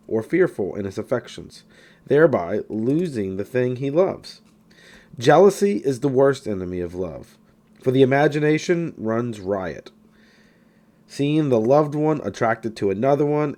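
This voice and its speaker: A man reading a self-help book aloud in scripted narration, with emphatic, deliberate phrasing. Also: mid-range voice, darkish tone. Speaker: audiobook reader.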